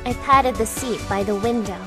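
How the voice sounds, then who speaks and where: A woman's voice: a little high, normal speed, close to an everyday voice. A young woman voicing a wry, reflective girl travelling alone, narrating in first person for an amateur fandub. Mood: musing. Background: background music.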